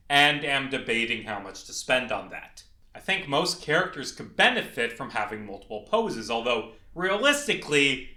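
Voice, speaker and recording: A man's voice: mid-range voice, sharp tone. A young adult man narrating a scripted video essay as a game developer. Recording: echoey, reverberant room.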